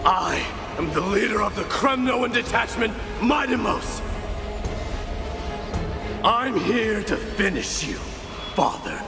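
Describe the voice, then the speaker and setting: A man playing a proud, defiant young warrior prince in a fantasy game, intense and declamatory, with a gravelly edge. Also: sits high, big pitch swings, slow, pauses often, music in the background, full character voice. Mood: defiant, fierce. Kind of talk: dramatic game voice acting.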